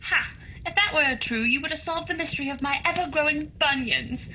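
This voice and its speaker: A woman's voice: high voice, brisk, pushed and bright, a little hiss or hum, low-quality recording. A woman playing a cheeky, sarcastic Victorian London housekeeper in a radio drama.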